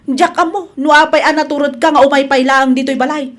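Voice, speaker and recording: A woman playing a haughty, sharp-tongued wife hostile to her in-law in an Ilocano-accented radio drama. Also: sits high, fairly even intonation, a little fast. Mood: scornful.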